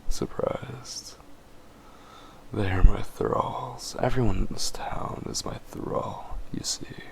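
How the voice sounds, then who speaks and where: A man's voice: lowish voice, a little hiss or hum. An actor playing a smug, teasing, possessive male vampire in a roleplay audio drama, taunting his prey in murmurs. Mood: smug menace. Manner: slow, taunting, chuckling, often dropping to breathy murmurs.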